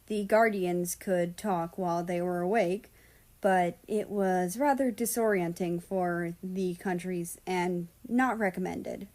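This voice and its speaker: A woman's voice: on the low side, darkish tone. A woman narrating fan fiction from a script as a story reader.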